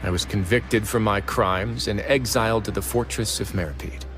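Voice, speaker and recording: An actor playing a calm, composed male prison warden in a game, speaking in a low, measured voice. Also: over loud music, natural voice. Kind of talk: game voice acting.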